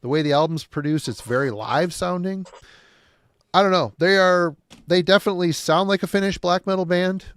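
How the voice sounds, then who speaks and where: A man's voice: sits high, moderate pace, a bit bright, clear, resonant tone, dry recording. A man from the United States chatting loosely on a podcast, rambling and enthusiastic with mild swearing. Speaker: podcast guest. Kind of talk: casual podcast chat about metal albums.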